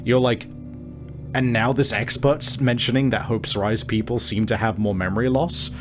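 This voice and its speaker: A man working as game master, improvising narration in an informal, halting way as he searches for words. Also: mid-range voice, steady tone. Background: background music.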